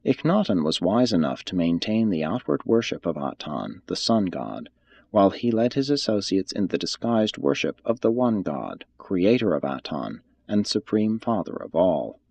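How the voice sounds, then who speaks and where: Husky low-voiced man reading formally. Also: very animated, moderate pace, darkish tone, lo-fi sound. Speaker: audiobook reader. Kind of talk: scripted narration of a religious text.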